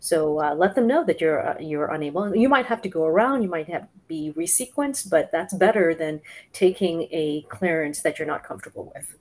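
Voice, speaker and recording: A woman's voice: lowish voice, sing-song, normal speed, a little mellow. A middle-aged woman on a video call, explaining and advising conversationally as a panelist. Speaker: panelist giving advice. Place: home office, on a video call.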